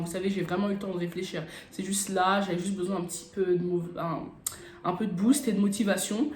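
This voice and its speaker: A young woman from France telling personal stories in a casual, animated, slangy chat as a video blogger. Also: mid-range voice, a little hiss or hum. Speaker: YouTube vlogger. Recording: roomy echo, music bed behind. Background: background beat music.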